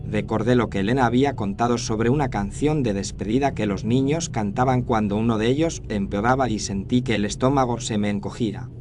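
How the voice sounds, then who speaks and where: Low-voiced man narrating horror. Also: brisk, hardly any pauses, over music. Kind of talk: scripted first-person horror narration. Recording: faint noise and ambience behind narration.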